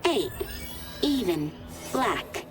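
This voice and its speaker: An actress playing a polite, formal casino croupier in a video game. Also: female voice, medium pitch, sing-song, extremely slow, music in the background. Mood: formal, detached. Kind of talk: video game cutscene acting.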